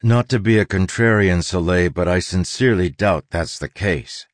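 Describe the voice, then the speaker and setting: A man's voice: sits low, normal speed, no room sound, clean studio sound, close to an everyday voice. Morose, level-headed big quartermaster, played by a man. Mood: doubtful.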